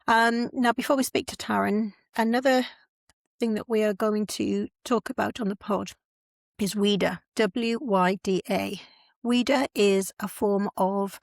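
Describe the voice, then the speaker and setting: A woman's voice: mid-range voice, creaky, dry recording. A woman hosting a podcast, explaining words patiently and spelling them out letter by letter. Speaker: podcast host. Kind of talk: podcast narration and interviewing.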